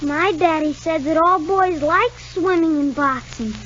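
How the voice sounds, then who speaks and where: A high-voiced, timid little boy. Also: measured pace, fairly bright tone. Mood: shy, wistful. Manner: hesitant, stammering, polite. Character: timid, anxious, stubborn six-year-old boy. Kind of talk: 1950 radio soap opera acting. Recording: muffled, noisy 1950 radio recording.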